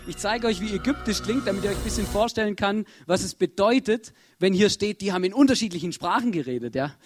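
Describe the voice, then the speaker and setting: A man giving a casual, chatty Bible lesson to a group, often checking in with his listeners. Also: high-pitched. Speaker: youth Bible teacher.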